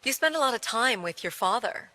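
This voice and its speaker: American reporter in a game cutscene, middle-aged, pointed questions, unflinching, sharp tone, echoey hall. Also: female voice, on the high side, lively intonation, brisk, slight background noise. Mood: brisk, probing. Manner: direct, pointed, composed. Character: probing, unflinching American female television journalist. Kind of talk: interview in a video game cutscene. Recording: reverberant hall.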